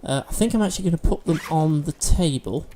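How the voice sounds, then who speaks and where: A man's voice: on the high side, fast talker, dark tone, slight background noise. A man hosting a let's-play video, chatting and explaining game mechanics as he plays, a bit sniffly from allergies. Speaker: gaming video host.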